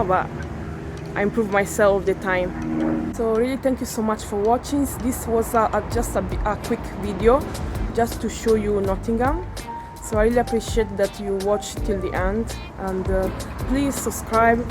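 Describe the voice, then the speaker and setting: A woman vlogger chatting casually while walking outdoors through a city center. Her English is non-native and halting, with frequent restarts. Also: medium pitch. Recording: music playing under the voice. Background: background music.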